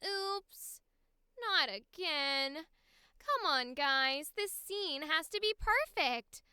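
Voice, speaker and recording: A woman performs a young female AI actress for a cartoon. She is a dramatic perfectionist with a very high, bright voice. The sound is dry and close. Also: expressive, drawn-out words, dry recording, exaggerated voice. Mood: anxious, dramatic. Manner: dramatic, perfectionist, pleading then huffy. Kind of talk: animation audition read.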